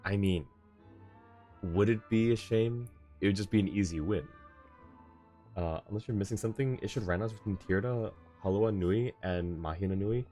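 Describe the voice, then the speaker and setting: Low-voiced man rambling on a game stream. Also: normal speed, dark tone. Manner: rambling, thinking aloud. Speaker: livestreamer host. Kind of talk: casual chat while playing a game. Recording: game music behind the voice. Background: video game music and character voices.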